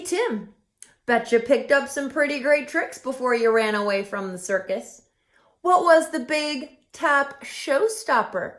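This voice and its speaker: A woman doing a huffy, accusing male bear. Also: high voice. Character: indignant male bear demanding answers. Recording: roomy echo.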